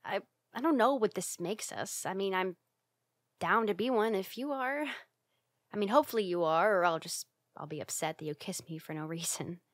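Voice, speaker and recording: A woman playing a bashful, secretly affectionate young tsundere roommate in an ASMR roleplay, speaking in a halting, flustered way with sighs. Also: mid-range voice, lively intonation, dry recording, clean studio sound, lightly acted. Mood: soft, shy.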